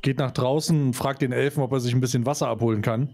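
A man's voice: medium pitch, fairly even intonation, fast talker, a little mellow. A man playing an online tabletop game, talking in casual, slangy, joking banter. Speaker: player.

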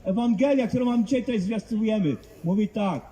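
A man's voice: sits high, brisk, warm tone, slight background noise. A man preaching outdoors to passers-by, fervent and emphatic, driving points home with rhetorical questions. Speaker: street preacher. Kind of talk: open-air evangelistic preaching. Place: outdoors in a public street.

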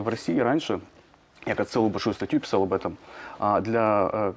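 A man, a journalist, answering in a studio interview, talking quickly with many hesitations and fillers. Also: lowish voice, darkish tone. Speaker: journalist guest.

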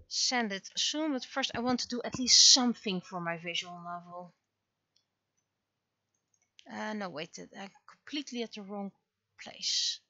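A woman chatting casually on a livestream as she works, thinking aloud in short, halting phrases. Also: medium pitch, bright, forceful tone, no room sound, highs cut off. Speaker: livestreamer and webcomic artist. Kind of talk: casual livestream chat while working.

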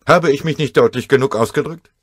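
A man's voice: mid-range voice, fairly quick, no room sound, pitched above the performer's own voice, lightly acted. A man voicing a curt, authoritarian general with an impatient, clipped manner in a war audiobook. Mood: stern, impatient. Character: curt, authoritarian male general, corps commander.

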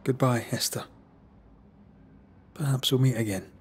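A man's voice: mid-range voice, fairly even intonation, a little slow, slight background noise, lightly acted. A man playing an earnest, troubled ghost hunter in a dramatic game, speaking plainly and sombrely. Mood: gentle.

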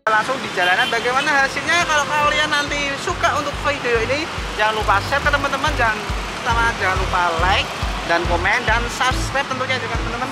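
A woman's voice: sits high, brisk. A woman giving casual, chatty vlog commentary while riding a motorbike through city streets, talking straight to her viewers. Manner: chatty, keeps addressing viewers as friends. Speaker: motovlogger. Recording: loud background music over outdoor riding.

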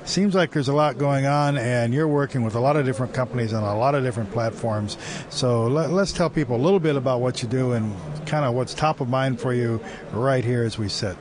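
Joking, mid-pitched male interviewer on a crowded expo floor. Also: a little fast. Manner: joking, wry, rambling questions. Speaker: interview host. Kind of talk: conversational tech interview. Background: crowd chatter.

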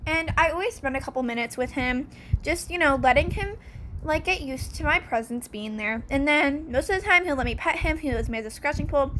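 A woman's voice: sits high, animated, fairly quick, fairly bright tone, a little hiss or hum. A teenage girl chatting casually with lots of fillers as she vlogs her barn chores, recorded on a phone camera. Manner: chatty, rambling with many fillers. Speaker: vlogger. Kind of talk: casual vlog narration. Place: horse barn.

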